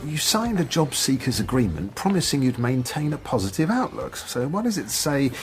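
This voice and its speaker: An actor playing a stern, officious job centre advisor in a radio play, formal and accusing. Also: male voice, medium pitch, sing-song, normal speed, over music, close to an everyday voice. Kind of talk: naturalistic radio play acting.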